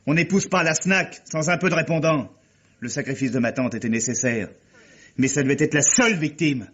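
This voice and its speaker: Radio mystery villain: sardonic, scheming nephew, husky delivery, dull old-radio sound. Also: male voice, on the high side, a little fast, a bit bright. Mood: bitter, boasting. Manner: sardonic, coolly cutting. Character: cynical, calculating murderer nephew taunting his guilty accomplice. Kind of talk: French radio mystery drama acting. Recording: muffled old radio drama recording.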